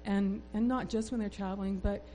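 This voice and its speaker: A woman speaks fervently to a church gathering, urging listeners to pray protection over their families. Her tone is mellow and warm, with a faint hum underneath. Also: medium pitch, fairly even intonation, a little fast. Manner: fervent, exhorting. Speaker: church member sharing a prayer testimony. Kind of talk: exhortation in a church service.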